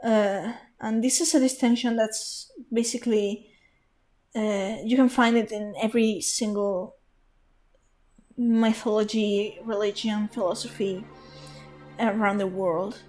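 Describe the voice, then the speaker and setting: Slow, rambling woman streamer. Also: medium pitch, steady tone, a little mellow. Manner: rambling, thinking aloud, many restarts and fillers. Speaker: game streamer. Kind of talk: casual live gameplay commentary. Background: game music.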